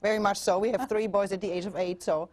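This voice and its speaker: Woman, German accent, forties, TV guest, earnest, halting restarts, mid pitch. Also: steady tone, darkish tone. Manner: earnest, with frequent restarts and fillers. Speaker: guest relationship coach. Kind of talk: TV interview.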